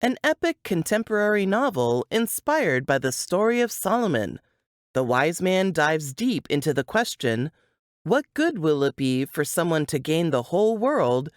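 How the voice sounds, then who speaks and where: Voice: mid-range voice, big pitch swings, normal speed, dry recording, studio quality. An adult voice reading a book blurb as scripted narration in a dramatic style.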